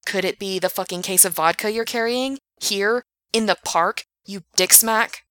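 A woman voicing a self-righteous young male park worker who shouts indignantly. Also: high voice, moderate pace, no room sound, clean studio sound.

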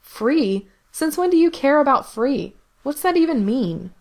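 A woman voicing an anxious young man who pleads with his brother in a high, strained voice. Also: very animated, a little slow, a little mellow, exaggerated voice. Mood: pleading.

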